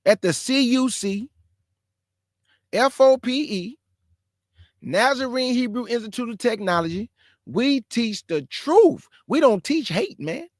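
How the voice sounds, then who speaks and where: A man's voice: very high-pitched, lively intonation, a little slow, long pauses, no echo, clean studio sound. A man hosting his own show, reacting to clips with emphatic, slangy scolding. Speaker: show host. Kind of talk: reaction commentary.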